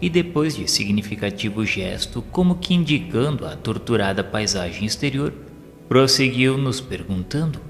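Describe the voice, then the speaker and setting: A man reading a solemn audio novel in a measured, formal, sermon-like way. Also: on the low side. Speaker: audiobook narrator. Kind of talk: solemn scripted narration of a spiritist audio novel. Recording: long reverb with music underneath. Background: music.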